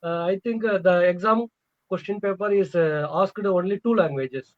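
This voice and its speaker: A man's voice: high voice, moderate pace, dry recording. A man in his thirties with a Tamil accent, a native Tamil speaker, practising English with a tutor on a video call, hesitant and polite with many fillers. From Tamil Nadu, India. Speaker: English learner. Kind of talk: online tutoring conversation. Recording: muffled lo-fi call audio.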